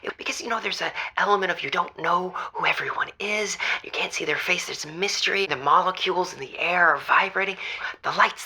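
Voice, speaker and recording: A woman playing an earnest, rambling caller on a comedic radio-show drama, breathy and given to asking rhetorical questions as she tells her story. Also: lowish voice, bright, forceful tone, full character voice. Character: Morgan, gushing theater-loving caller to a radio show.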